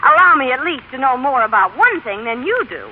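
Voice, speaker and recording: Brassy young wife, slangy and defiant, very high lively voice, vintage radio play with thin, noisy sound. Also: female voice, brisk. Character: restless, brassy young working-class wife and new mother. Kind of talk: 1930s radio acting. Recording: noisy, tinny 1930s radio broadcast.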